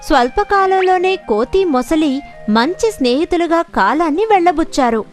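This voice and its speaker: A woman's voice: very high-pitched, lively intonation, normal speed. A woman narrating a scripted children's story. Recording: music behind the voice.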